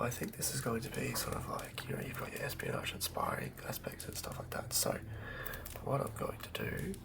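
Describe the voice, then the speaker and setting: A man whispers breathily in an ASMR style, with a crackly fry in the voice. He takes his time, murmuring half to himself as he improvises, close to the microphone. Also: mid-range voice. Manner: unhurried, murmuring to himself as he works. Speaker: ASMR host.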